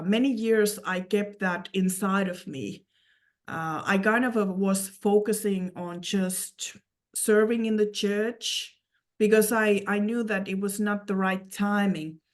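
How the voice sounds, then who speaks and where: A woman from Finland with a Finnish accent, giving an earnest personal testimony as an interview guest, in halting phrases with repeated words. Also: medium pitch.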